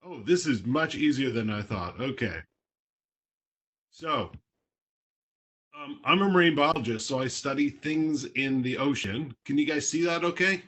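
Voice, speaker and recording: A man's voice: medium pitch, expressive, measured pace, pauses often, a bit bright. A man from Toronto, Canada, a marine biologist talking casually on a video call, explaining in plain words with a few fillers.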